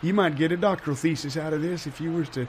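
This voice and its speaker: A man playing a folksy, excitable small-town parade commentator in an improvised mock live broadcast. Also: a little high, fast talker, slight background noise, natural voice. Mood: earnest. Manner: folksy, homespun phrasing.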